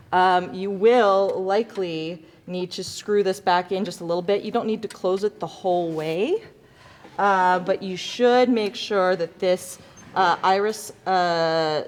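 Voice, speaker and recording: A woman teaching a hands-on demonstration to students in an informal, self-correcting, good-humored way, as an instructor. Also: mid-range voice. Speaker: lighting design instructor. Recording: echoey reverberant hall, background noise.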